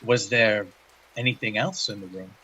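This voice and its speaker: Actor as a husky, clinical male investigator. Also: on the low side, steady tone, bright, forceful tone, dull audio. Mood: probing, polite. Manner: clinical, measured, hesitant with pauses. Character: formal, methodical paranormal investigator, male, narrating case tapes. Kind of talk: audio drama, scripted case-file narration and interview.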